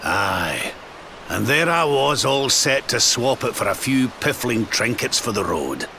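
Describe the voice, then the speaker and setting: A gravelly old mentor. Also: male voice, mid-range voice, sing-song, unhurried, sharp tone, slight background noise, exaggerated voice. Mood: thoughtful. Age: older. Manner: coaxing, avuncular. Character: kindly old male adventurer, wise mentor. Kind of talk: video game voice acting.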